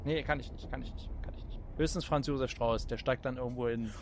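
A man's voice: mid-range voice, fairly even intonation, quick pace, slight background noise. A man hosting a podcast in casual comic chat. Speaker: podcast host.

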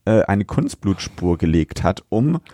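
Wry low-voiced male podcast co-host. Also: sing-song, measured pace, dark tone, dry recording, studio quality. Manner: chatty, keeps notes, wry and matter-of-fact. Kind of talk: casual review chat.

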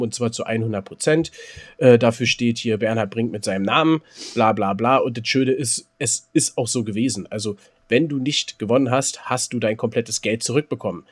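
A man co-hosting a podcast in casual chat, with colloquial, teasing banter. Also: medium pitch. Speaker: podcast co-host. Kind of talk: casual chat between two friends.

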